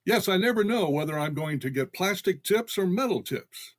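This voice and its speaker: Hearty, animated male radio comedy shopper. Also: sits high, moderate pace. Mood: pleased, eager. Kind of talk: old-time radio comedy acting.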